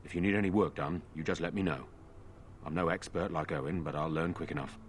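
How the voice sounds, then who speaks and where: An actor playing a humble, apologetic village smith in a fantasy game, talking in a plain, rustic way. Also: male voice, extremely low pitch, fast talker, slight background noise. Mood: apologetic, subdued. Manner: plain, rustic, folksy speech.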